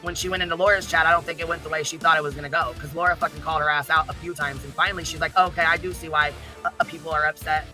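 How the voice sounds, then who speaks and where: A man's voice: a little high, fairly even intonation, racing, hardly any pauses, over music. A man arguing in an informal, heated way, blunt and emphatic, with constant swearing.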